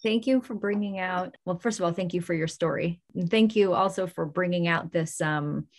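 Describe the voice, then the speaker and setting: Woman curator leading a talk, lowish voice with vocal fry, engaged and thankful. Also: normal speed. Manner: engaged, grateful, frequent ums. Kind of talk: moderating a public talk.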